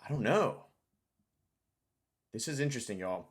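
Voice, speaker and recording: A man's voice: medium pitch, animated, normal speed. A man hosting a casual screen-share tutorial, informal and thinking aloud as he goes. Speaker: tutorial host.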